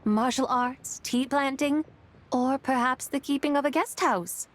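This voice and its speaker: A woman's voice: high voice, measured pace. A woman voicing a lofty ancient female immortal in a video game, speaking in grand, archaic, theatrical phrases as one amused by mortals. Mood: encouraging. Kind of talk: video game character acting.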